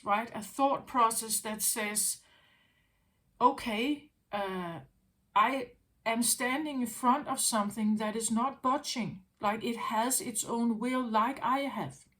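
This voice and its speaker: A woman with a fairly high voice, speaking slowly in an unhurried spiritual monologue. She muses aloud, circles back over her phrases and keeps checking in with "right?". She sounds like a tarot reader talking straight to her viewers. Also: a bit bright. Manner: musing, repeats phrases, asks "right?".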